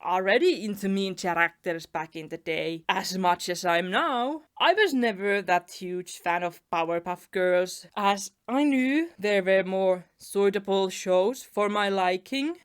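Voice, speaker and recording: Young finnish-accented woman rambling a storytime, earnest, giggles here and there. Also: mid-range voice. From Finland. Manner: chatty, earnest, laughs between phrases. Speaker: animation YouTuber. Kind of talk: storytime narration over a speedpaint.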